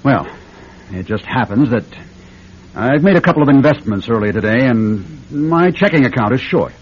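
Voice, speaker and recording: A man's voice: mid-range voice, animated, moderate pace, natural voice. A man acting in an old-time radio drama as a proud, defensive film star, irritable and evasive, with a blustering manner. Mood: indignant. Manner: indignant, blustering. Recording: muffled, noisy old radio recording.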